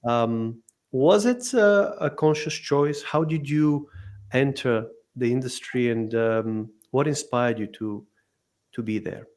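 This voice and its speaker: A man's voice: medium pitch, slow, a little mellow. A man hosting an interview podcast, speaking with many fillers and restarts as he welcomes and questions his guest. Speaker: podcast host.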